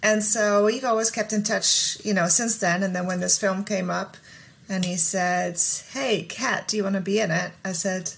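A woman's voice: mid-range voice, steady tone, normal speed, creaky. A woman who grew up in Germany, chatting as an interview guest in a friendly way with small laughs. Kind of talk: casual interview chat.

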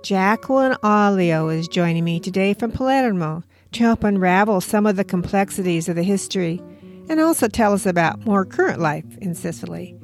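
A woman hosting an interview podcast, reading a scripted intro and asking questions in a measured way. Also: mid-range voice, lively intonation, faint music behind. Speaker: podcast host.

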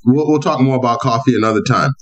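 A man's voice: medium pitch, quick pace, muffled recording, a little hiss or hum. Playful slangy male podcast host. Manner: playful, animated, slangy banter. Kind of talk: casual conversational podcast.

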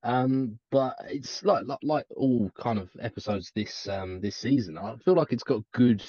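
A man's voice: mid-range voice, normal speed, mellow, husky, dry recording, lo-fi sound. A man co-hosting a podcast, talking casually in a rambling, hesitant way. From Wales heritage, family on the south coast. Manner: rambling, hesitant, lots of fillers and restarts. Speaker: podcast co-host. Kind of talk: casual chat.

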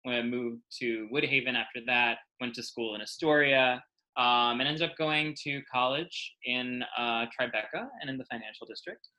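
Bright-voiced, chatty American man. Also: medium pitch, moderate pace, poor audio. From New York City, United States. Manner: animated storytelling with frequent swearing and self-mocking asides. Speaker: podcast guest, journalist. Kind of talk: casual chat, personal anecdotes.

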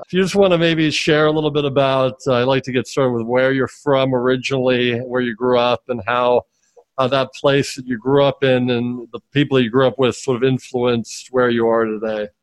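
A male podcast host with a smooth, resonant voice, recorded close and dry with no room sound. He talks casually and haltingly, stopping and restarting his sentences as he feels his way into each question. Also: medium pitch, steady tone, no room sound, low-quality recording. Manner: halting, many restarts and fillers. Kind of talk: casual interview.

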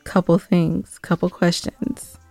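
A woman's voice: fairly low pitch, flat delivery, slow pace, vocal fry, warm tone, no echo. Woman podcast cohost, takes her time, teasing, casual, bit of music under it. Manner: playful, teasing. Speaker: podcast co-host. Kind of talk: casual bantering chat.

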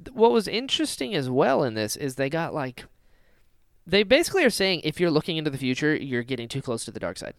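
A man's voice: on the high side, sing-song, quick pace, no echo, studio quality. A man hosting a casual fan discussion podcast, talking animatedly and often slipping into mock quotes of imagined dialogue. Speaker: podcast host.

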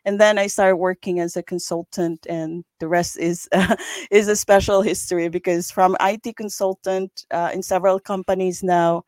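A woman's voice: on the low side, fairly even intonation, normal speed, a little mellow, no room sound. A woman from the Philippines in her thirties, a developer answering interview questions in an earnest, reflective way with light laughs. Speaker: podcast guest, developer.

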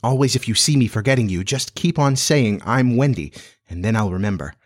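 A man's voice: medium pitch, expressive, a little fast, close to an everyday voice. Man voicing a cocky, carefree adventurous boy. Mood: apologetic.

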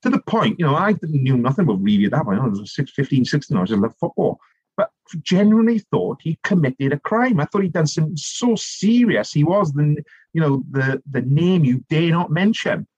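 A middle-aged man from South Wales with a Welsh accent, telling long animated stories as a podcast guest, full of restarts and asides. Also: on the high side, a little fast, slightly rough, no room sound, highs cut off. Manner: animated storytelling, rambling with many restarts and "you know". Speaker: guest, author and politician. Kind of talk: conversational podcast interview.

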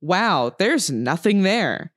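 A woman playing an unfazed, matter-of-fact doctor in a comedy sketch, delivered deadpan. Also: fairly low pitch, slow, exaggerated voice. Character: unfazed clinical female doctor hearing absurd confession.

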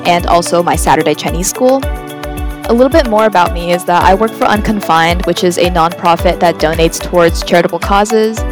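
A teenage girl from the United States, a podcast guest who talks in clear, composed full sentences. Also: on the low side, normal speed, music in the background. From USA. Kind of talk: casual podcast chat.

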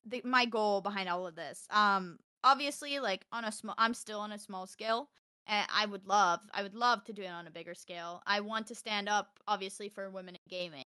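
A young woman from Texas chatting on a podcast, loud and animated, telling stories fast with a close mic. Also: mid-range voice, clear, resonant tone, no room sound. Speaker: podcast guest, streamer. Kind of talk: casual podcast chat.

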